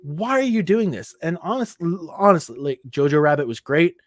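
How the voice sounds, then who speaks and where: A man's voice: on the high side, big pitch swings, normal speed, no echo, studio quality. A man co-hosting a casual nerd podcast, ranting with frequent swearing and filler words. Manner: profane, ranting, lots of fillers. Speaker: podcast co-host. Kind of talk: casual chat.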